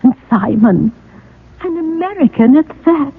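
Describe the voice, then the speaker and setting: A high, genteel, coaxing ghostly wife. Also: female voice, measured pace. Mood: gently needling. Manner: genteel, flattering, theatrical. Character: coaxing, gently needling wife of an old ghost. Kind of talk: old-time radio acting. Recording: thin, phone-like old radio recording.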